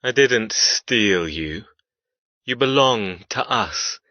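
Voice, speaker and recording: A man's voice: on the low side, expressive, takes it slowly, sharp tone, no room sound, muffled recording, full character voice. An actor playing a cold, cruel male captor who taunts with clipped, controlled, sneering menace in audiobook dialogue. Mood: cold, threatening.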